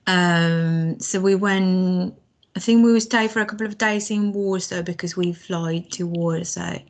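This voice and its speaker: Guest voice: young woman, unhurried, lowish voice, halting speech full of ums. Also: fairly even intonation. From Spain. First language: Spanish. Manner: hesitant, many fillers and restarts. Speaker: language student guest. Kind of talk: casual podcast conversation.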